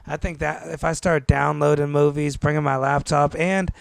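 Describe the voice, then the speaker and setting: A man co-hosting a podcast, telling travel stories in a laid-back, animated way and calling his listener dude and bro. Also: a little high, normal speed, gravelly, no room sound. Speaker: podcast co-host. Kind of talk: casual chat. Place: New York.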